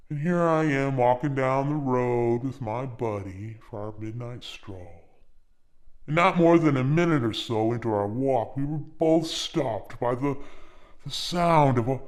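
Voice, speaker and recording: Male narrator for a ghost story: conversational yet theatrical, unhurried pace, big swoops in intonation. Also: medium pitch. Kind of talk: dramatic first-person storytelling, reading a ghost story.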